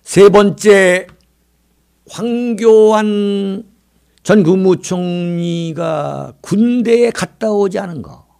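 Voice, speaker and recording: A man's voice: sits high, extremely slow, vocal fry, a little mellow, smooth. A man in his sixties from South Korea delivering political commentary in an oratorical way, with dramatic emphasis and rhetorical questions. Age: 60s. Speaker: political commentary show host.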